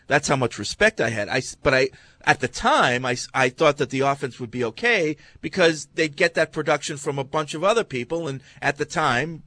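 A man with a somewhat high voice hosts a sports talk radio show from a studio. He talks casually, with plenty of fillers and false starts. The sound is dry and close, with no room echo. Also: expressive, fairly quick, pushed and bright. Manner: hesitant, many fillers and restarts.

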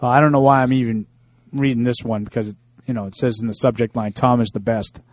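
A man's voice: medium pitch, steady tone, no room sound. Dry-sounding man co-hosting sports radio. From Italian-American. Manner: conversational, reads emails aloud with halting fillers. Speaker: sports radio co-host reading listener emails. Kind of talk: casual sports talk.

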